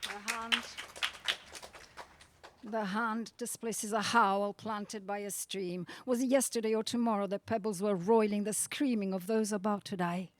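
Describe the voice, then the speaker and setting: A woman speaking to a poetry event as translator and reader, with deliberate, dramatic phrasing. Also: medium pitch, steady tone. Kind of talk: introduction and expressive poetry reading. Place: outdoors at a gallery event.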